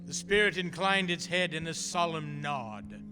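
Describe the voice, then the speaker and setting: A man announces and narrates a radio play. His high voice moves around a lot, echoing in a hall over music. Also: expressive, unhurried.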